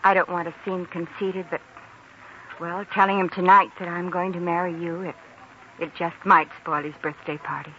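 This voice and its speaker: A woman's voice: lowish voice, flat delivery, normal speed, sharp tone, lo-fi sound. An actress playing an affectionate, considerate young woman engaged to an intern in a 1940s radio drama. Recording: thin, noisy 1940s radio recording.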